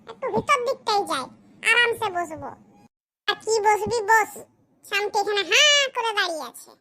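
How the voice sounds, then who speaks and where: A performer voicing a crude, self-pitying village boy who grumbles in rustic slang in a comedy cartoon, with a pitched-up voice. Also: pushed and bright, heavily acted. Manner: muttering complaints in rustic slang.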